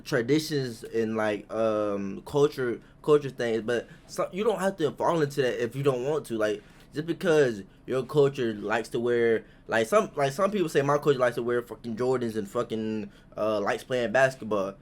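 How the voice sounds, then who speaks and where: A man's voice: mid-range voice, normal speed. A man giving casual off-the-cuff reaction commentary, rambling and blunt, with slang and swearing. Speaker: host reacting to a video.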